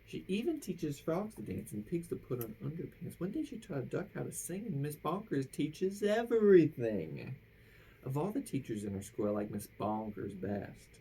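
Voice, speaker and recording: A woman reads a rhyming picture book aloud to theatrical effect. Her pitch moves widely and her voice has a noticeable creak. She draws out line endings, with faint noise behind her. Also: very animated, dark tone, low-quality recording. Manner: theatrical, drawn-out emphasis on phrase endings. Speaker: storybook reader.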